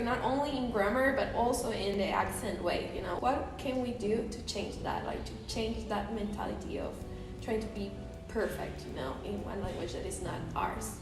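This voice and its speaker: Female co-host, slightly higher voice, chatty, distant mic with music. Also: normal speed, very echoey. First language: Spanish. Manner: casual, many fillers like "like" and "you know". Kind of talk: podcast conversation.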